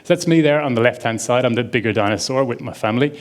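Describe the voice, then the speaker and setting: A man's voice: mid-range voice, fast talker. A man giving a casual conference talk on stage, chatty and enthusiastic, with lots of fillers. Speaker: conference speaker. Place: conference stage before an audience.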